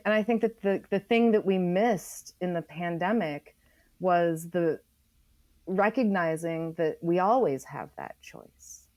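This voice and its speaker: A woman with a fairly low voice talks reflectively in an interview. She is earnest and deliberate, often repeating a phrase to drive it home. The sound is close and dry. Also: darkish tone, dry recording. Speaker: interview guest, environmental writer.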